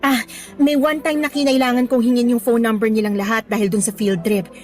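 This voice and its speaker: A woman playing an earnest young female teacher in a radio romance drama, expressive and mixing Tagalog with English. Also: a little high, fairly quick, soft background music, close to an everyday voice. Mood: uneasy, hesitant.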